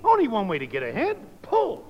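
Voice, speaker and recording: A man's voice: extremely high pitch, huge pitch swings, brisk, a little hiss or hum, heavily acted. An actor playing a cynical, needling wise-guy neighbour in a 1950s radio comedy, with a sardonic delivery. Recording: muffled old radio, reverberant hall.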